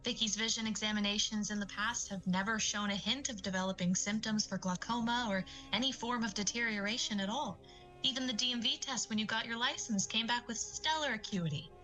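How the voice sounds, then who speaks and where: A woman playing a polite, measured eye doctor in an audio drama, professional and tactful. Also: fairly high pitch, pushed and bright, faint music behind, rough recording.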